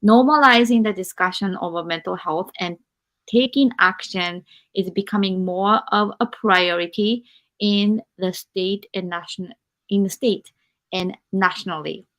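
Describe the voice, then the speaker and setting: A woman from Japan, a non-native English speaker, hosting a live podcast interview and encouraging her guest while pausing to search for words. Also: medium pitch, fairly bright tone. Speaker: podcast host.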